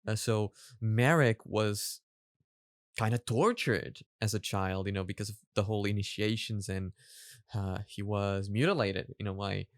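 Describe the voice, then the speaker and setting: A man hosting a reaction video, giving casual commentary in a halting way as he rephrases his explanations. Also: fairly low pitch, no echo, clean studio sound.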